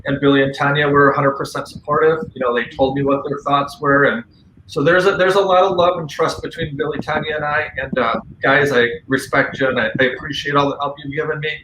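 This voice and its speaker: A man's voice: fairly high pitch, steady tone, fairly quick, pushed and bright. A man talking casually and earnestly as a guest on a paranormal radio show, with firm opinions. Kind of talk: casual conversational talk. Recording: roomy echo, faint background noise.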